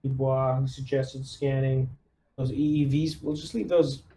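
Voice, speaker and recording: A man's voice: medium pitch, level tone, unhurried, mellow, a little hiss or hum, rough recording. A man talking casually as a player in a tabletop roleplaying game, laying out his squad's next steps. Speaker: player acting as squad lieutenant.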